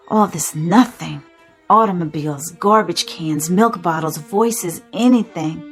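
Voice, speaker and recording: An actress playing a caring, wistful, protective younger woman in a radio drama, earnest and expressive. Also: sits low.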